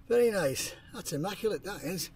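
A man's voice: sits high, expressive, measured pace. An older man, chatting cheerfully to himself and to a camera while fishing outdoors on a riverbank. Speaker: angling vlogger. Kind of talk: casual running commentary while fishing. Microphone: action camera mounted on the bank.

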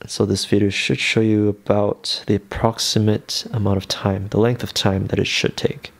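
A man with a fairly low voice presents a tech tutorial one step at a time. His delivery is casual and unhurried, and he explains things in a reassuring way. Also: level tone, creaky.

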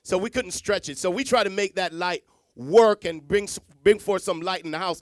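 A middle-aged man from Jamaica preaching a Christmas sermon on a church stage into a handheld mic, swinging from hushed, drawn-out lines to loud, repeated emphasis. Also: high-pitched, no echo, clean studio sound. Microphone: handheld microphone.

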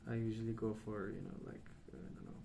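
A man speaking softly and hushed in an unhurried, halting ASMR ramble, often trailing off. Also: fairly low pitch, level tone. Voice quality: soft, hushed. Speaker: ASMR video host. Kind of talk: soft-spoken ASMR rambling. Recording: clear background noise.

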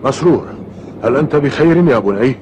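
A man's voice: medium pitch, lively intonation, a little fast, darkish tone, a little hiss or hum, close to an everyday voice, low-quality recording. A man voicing a kindly, brave uncle guiding a boy in a dubbed cartoon, with a fatherly, encouraging and dramatic delivery. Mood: cheerful, encouraging. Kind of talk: dubbed cartoon acting in formal Arabic.

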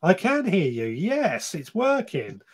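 A man's voice: high-pitched, wildly swooping pitch, slow, husky. A man in his thirties hosting a gardening podcast live stream, chatting casually and reading out viewer comments, often stopping to correct himself. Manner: rambling, self-correcting, reads comments aloud. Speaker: podcast host. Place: small shed or room, indoors.

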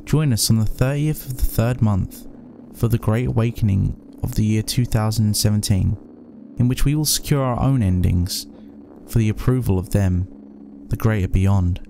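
A man playing a serene, devout student turned cult convert, formal and eerily calm in a scripted horror monologue. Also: fairly low pitch, moderate pace, gravelly, background noise.

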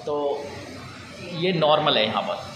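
A man's voice: on the high side, lively intonation, takes it slowly. A man in his thirties chatting casually to a handheld phone camera in a large indoor cafe, rambling with many fillers and restarts. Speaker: travel vlogger. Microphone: phone held at arm's length. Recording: roomy cafe with loud background noise.

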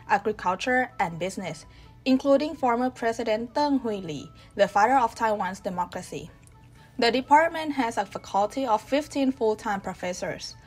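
A woman delivering a steady, informative scripted promotional narration. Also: mid-range voice.

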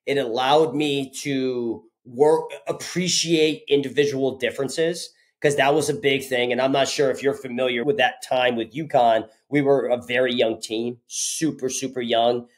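A man in his thirties from Connecticut, a strength coach telling stories in a casual interview, lively and blunt, heard over a webcam on a video call from a home office. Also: medium pitch. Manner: energetic, animated, casual with mild swearing. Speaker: strength and conditioning coach, guest. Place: home office with ceiling light, indoors.

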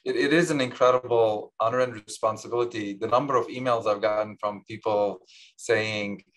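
Lebanon-raised man, Armenian native, low voice. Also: steady tone, normal speed, rough recording. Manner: modest, frequent restarts and fillers. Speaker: Nobel laureate guest. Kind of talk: candid interview over video call. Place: office with large window. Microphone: video call webcam.

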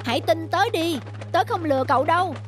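A woman's voice: very high voice, brisk, fairly bright tone, faint music behind. A woman voicing a well-meaning cartoon crow who speaks in an earnest, urging way. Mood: warning. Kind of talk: children's cartoon dubbing.

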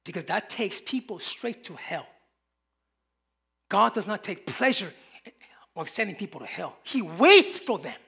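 High-voiced, emphatic preacher. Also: male voice, measured pace, creaky, pauses often, husky. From Korea. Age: 50s. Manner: animated, emphatic preaching with repeated phrases. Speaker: pastor. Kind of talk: sermon. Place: church stage at a pulpit.

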